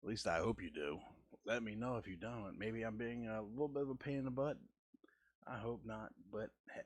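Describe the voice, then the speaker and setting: A man in his forties hosting a casual art show, talking in a halting way with frequent stammers and restarts. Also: no echo, clean studio sound. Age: 40s. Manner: halting, restarts and stammers, deliberate lowered delivery. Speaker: host. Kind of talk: casual art-stream chat.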